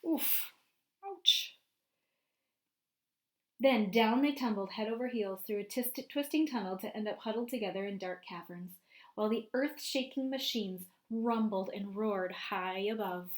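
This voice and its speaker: A woman teacher reading a picture book aloud to young children, expressive and often prompting her listeners with questions. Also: medium pitch, a little slow.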